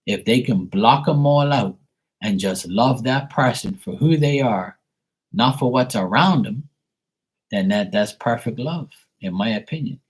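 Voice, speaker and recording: A man from Bermuda speaks in a husky, slightly breathy voice at an ordinary pitch. He rants bluntly and profanely to his live stream audience. His talk rambles on, casual and unscripted. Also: lively intonation, measured pace.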